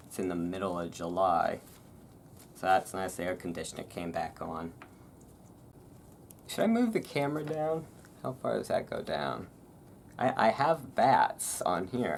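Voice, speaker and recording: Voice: sits low, expressive, moderate pace, vocal fry. A self-deprecating host chatting casually in a rambling way between bits of reading aloud for an ASMR audience, recorded at home. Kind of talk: casual ASMR chat around a book reading. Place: at home indoors. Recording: noisy room with thunderstorm ambience. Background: thunderstorm ambience, room noise.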